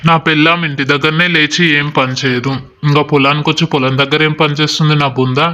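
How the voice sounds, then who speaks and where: A man's voice: fairly high pitch, little pitch movement, quick pace, bright, forceful tone. A man acting an exasperated young village farmer husband who complains in a long-suffering way, in a moral story. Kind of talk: acted Telugu moral story dialogue.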